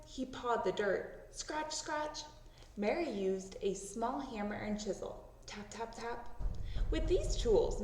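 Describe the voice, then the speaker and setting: Animated woman reading a storybook, echoey room. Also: medium pitch, a little hiss or hum. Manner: expressive, acting out sound words. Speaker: storybook reader. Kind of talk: children's read-aloud narration. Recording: roomy echo, distant microphone.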